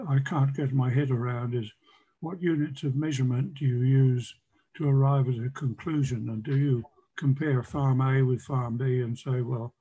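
Older man with a husky, mellow voice. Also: medium pitch, low-quality recording. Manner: hesitant, searching for words. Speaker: audience member. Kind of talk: asking a question on a video call. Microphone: webcam on a video call.

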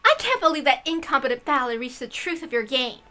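An imperious young lady detective acted by a woman reader, high and forceful. She sounds haughty and vengeful, a sharp visual novel rival. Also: sing-song, fast talker, faint music behind, exaggerated voice. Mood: scornful.

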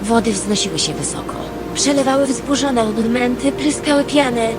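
Woman as a wistful storyteller, fairly high voice. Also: moderate pace, over music, close to an everyday voice. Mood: storytelling. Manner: literary, expressive storytelling. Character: Lady Number Two, wistful female dreamer telling her dream. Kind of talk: scripted audio drama narration.

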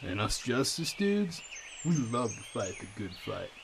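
Laid-back actor as dude hero, medium pitch. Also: male voice, very animated, a little slow, vocal fry, a little hiss or hum. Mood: casual, puzzled. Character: laid-back, simple-hearted dude superhero. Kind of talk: audio drama acting.

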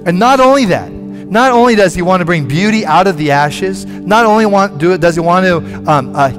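A man in his forties, a pastor preaching a sermon from a church stage on a headset mic, animated and conversational, with frequent restarts. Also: high voice, moderate pace, music in the background. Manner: animated, moving about the stage and gesturing.

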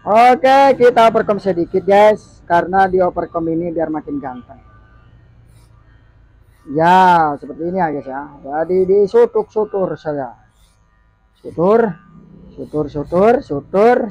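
A man's voice: high-pitched, a little slow, pauses often, darkish tone, no room sound, faint music behind, poor audio. A young man working as a barber, chatting and joking to the camera while he cuts hair in a small shop. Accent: Indonesian. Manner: chatty, joking, keeps saying "guys". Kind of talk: casual vlog narration while cutting hair. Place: small barbershop, indoors, street-facing.

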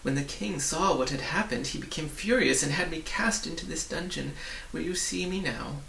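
A gentle, sorrowful old lady from a storybook, acted out by a man reading aloud. She reminisces in formal, wistful phrases, with a creaky edge. The recording is roomy and hissy. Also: fairly high pitch, normal speed, exaggerated voice. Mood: regretful. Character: sad, gentle old woman, a disgraced former royal official. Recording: roomy, distant mic, hissy.

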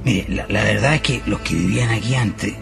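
A Chilean man playing a folksy, evasive caretaker in a radio drama, with colloquial, halting, repetitive speech. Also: fairly low pitch, gravelly. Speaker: actor. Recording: music behind the voice.

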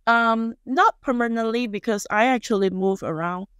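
Female podcast guest, forties, Malaysian Chinese accent, native Chinese speaker. Conversational, halting delivery, close and dry studio mic. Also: a little high, a bit bright, clean tone, no room sound. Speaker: guest chef and culinary instructor. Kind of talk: casual podcast interview. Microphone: close studio microphone on boom arm.

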